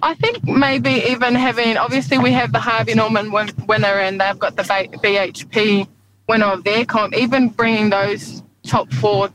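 A woman's voice: a little high, fairly even intonation, moderate pace, background noise. A young woman from New Zealand, a rugby league captain, chatting casually in an interview and laughing often. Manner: laid-back, chatty, laughs often, says "reckon" and "like".

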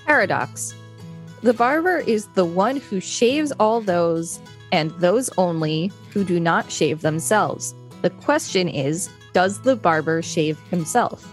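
Woman, podcast co-host, slightly low pitch, article reading, casual commentary, faint music behind. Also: lively intonation, slow pace.

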